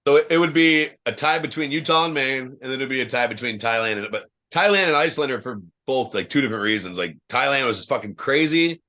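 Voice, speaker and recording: Young man from Ohio, mid-pitched, loud, swears a lot, rambling story-telling podcast guest. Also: fast, few pauses. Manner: loose, profane storytelling, lots of fillers; says he has a loud voice. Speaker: guest, professional wrestler. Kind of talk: casual podcast chat.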